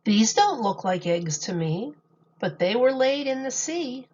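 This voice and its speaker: A woman teacher reading a picture book aloud to young children in a deliberate, expressive storytelling manner. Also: fairly high pitch, slightly rough, highs cut off.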